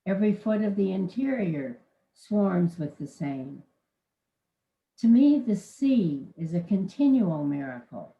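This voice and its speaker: A woman reading a poem aloud during a service in a slow, measured way, then introducing the speaker. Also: mid-range voice, animated, echoey room, highs cut off.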